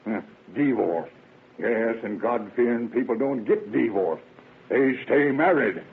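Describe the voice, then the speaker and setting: A man's voice: mid-range voice, animated, unhurried, exaggerated voice. An actor playing a stern, pious, stubborn old rural father with folksy rustic speech in a radio drama. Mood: scornful, righteous. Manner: gruff, folksy rustic talk. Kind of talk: 1930s radio acting. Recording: muffled phone-like vintage radio recording.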